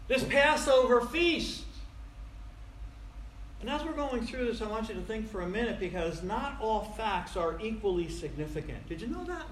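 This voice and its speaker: Man in his 60s, preacher, high-pitched, forceful bright tone, folksy, rhetorical questions, conversational sermon. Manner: folksy, conversational, repeats phrases for emphasis. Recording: echoey room, faint background noise.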